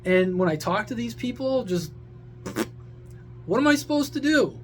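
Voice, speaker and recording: A man's voice: very high voice, big pitch swings, moderate pace, faint music behind. A man giving a casual, opinionated rant to his viewers, blunt, sarcastic, crude and exasperated. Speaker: social media creator and CB radio hobbyist.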